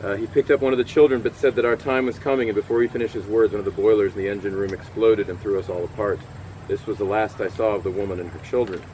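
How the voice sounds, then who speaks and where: A middle-aged man giving a first-person historical portrayal outdoors, telling his story with frequent pauses and fillers. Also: lowish voice, steady tone, a little mellow, a little hiss or hum, natural voice, low-quality recording. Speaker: historical portrayer at a cemetery event. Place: outdoors in a cemetery. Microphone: far camera.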